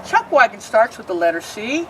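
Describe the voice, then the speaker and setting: A woman reading a rhyming picture book aloud, pausing to explain the pictures. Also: on the high side, sing-song, far from the mic, slight background noise, low-quality recording. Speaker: storybook reader.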